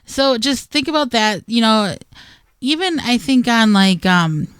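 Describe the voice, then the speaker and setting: A woman's voice: on the high side, lively intonation, unhurried, fairly bright tone, clear, resonant tone, no room sound, studio quality. A woman co-hosting a gardening radio show, chatting casually with playful asides. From Wisconsin.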